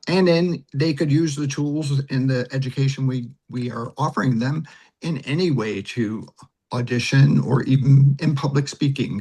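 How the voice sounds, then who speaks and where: A man's voice: medium pitch, a little mellow, slightly rough, rough recording. A man in his late sixties explaining things conversationally as an interview guest on a video call. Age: 60s. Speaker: interview guest, readers theater teacher.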